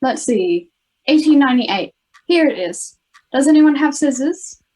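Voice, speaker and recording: A woman's voice: high-pitched, animated, unhurried, warm tone, poor audio. An Australian girl of ten or eleven playing an earnest, urgent schoolgirl adventurer in an amateur radio play. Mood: alarmed. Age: child, 10 to 11. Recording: home video-call recording.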